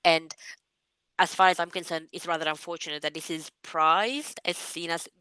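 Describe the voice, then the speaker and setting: Woman, from Romania, native Romanian speaker, young adult, low-pitched, bright and forceful tone, thoughtful, measured interview guest. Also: fairly even intonation, clear, resonant tone, dry recording, low-quality recording. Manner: thoughtful, measured, with frequent ums and restarts. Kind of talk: reflective interview answers.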